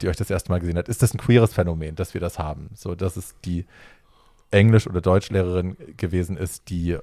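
Animated storytelling man, low voice. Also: no room sound, studio quality. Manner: animated storyteller acting out dialogue. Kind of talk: casual chat, anecdote.

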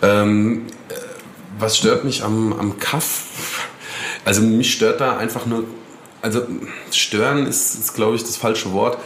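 A man talking casually as an interview guest, relaxed and rambling, with slang and many fillers. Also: fairly low pitch, takes it slowly, echoey room, a little hiss or hum.

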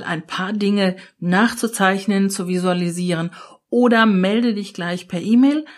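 A middle-aged German woman hosting a solo podcast, explaining ideas in a lively coaching manner and speaking straight to the listener. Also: medium pitch. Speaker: podcast host and change consultant.

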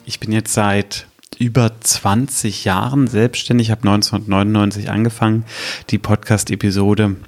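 A man's voice: lowish voice, flat delivery, moderate pace. A man in his thirties hosting his own podcast, talking directly to the listener in a reflective, advisory way. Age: 30s. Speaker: podcast host, strategy consultant. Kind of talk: solo podcast monologue.

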